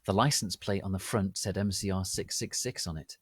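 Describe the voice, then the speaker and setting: A man narrating a story as a dramatic reading, in a deliberate and theatrical manner. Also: deep voice, slightly rough, no echo, clean studio sound.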